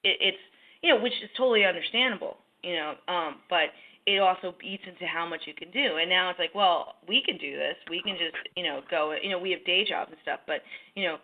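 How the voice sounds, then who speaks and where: A woman's voice: on the low side, fairly quick. A woman musician being interviewed, talking casually and rambling with lots of fillers and restarts. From New York. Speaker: musician in a duo, piano. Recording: slightly dull lo-fi sound with faint music.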